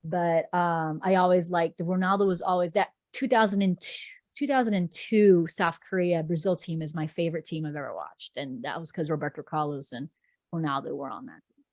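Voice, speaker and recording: Chatty low-voiced woman, Portuguese native. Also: normal speed, darkish tone, no room sound, clean studio sound. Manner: chatty and rambling, frequent ums. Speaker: sports reporter guest. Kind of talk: casual podcast interview.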